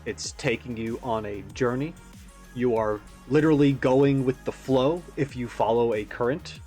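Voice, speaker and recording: A man's voice: mid-range voice, moderate pace, mellow, soft background music. A man giving casual, rambling analysis as a video host, musing and tentative as he thinks aloud. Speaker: YouTube reaction host.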